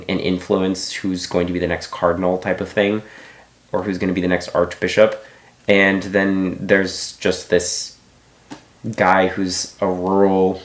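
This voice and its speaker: A man's voice: low-pitched, reverberant. A man giving a casual book review, thinking aloud with many restarts and asides.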